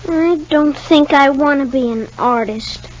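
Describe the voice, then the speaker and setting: Radio soap: small boy, high voice, polite, downcast, reticent; dull vintage recording. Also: measured pace. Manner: reticent, short hesitant answers. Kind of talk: 1950s radio soap acting.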